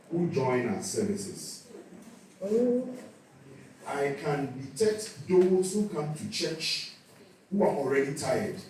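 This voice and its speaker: A man's voice: medium pitch, a little mellow, slight background noise. A man with a Ghanaian accent giving a talk at a church youth session. He often repeats a word while searching for the next one. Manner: repeats words while searching for them, then speeds up. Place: church hall. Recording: echoey church hall, mic at a distance.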